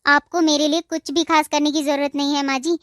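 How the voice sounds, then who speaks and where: Woman doing a sweet polite young bride, high soft voice, dry studio sound. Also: level tone, a little fast, no echo. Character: gentle young newlywed daughter-in-law. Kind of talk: Hindi cartoon dubbing.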